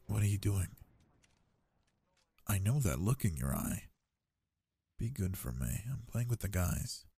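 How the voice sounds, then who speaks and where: Man acting as deep, unhurried gamer boyfriend. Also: dark tone, husky, no echo, full character voice. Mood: soft, coaxing. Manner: slangy, swearing, teasing. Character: affectionate, cocky gamer boyfriend, trash-talking young man. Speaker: ASMR roleplay voice actor. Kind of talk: intimate ASMR boyfriend roleplay, casual gamer banter.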